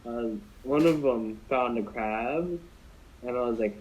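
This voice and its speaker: A man's voice: medium pitch, unhurried, darkish tone, a little hiss or hum. A young man casually telling a story to friends on a podcast, rambling with many fillers and restarts. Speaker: podcast co-host. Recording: dull lo-fi podcast audio.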